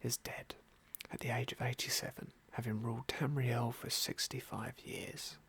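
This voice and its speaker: Soft whispery guy doing asmr game playthrough, bit creaky, gentle and musing. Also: medium pitch, little pitch movement, normal speed. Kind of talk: whispered ASMR roleplay commentary.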